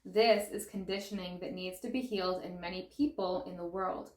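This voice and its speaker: Excitable woman with a fading voice. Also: lowish voice, moderate pace, roomy sound. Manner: excitable and gushing, exclaiming and laughing. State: losing her voice. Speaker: tarot reader. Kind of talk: pick-a-pile tarot reading.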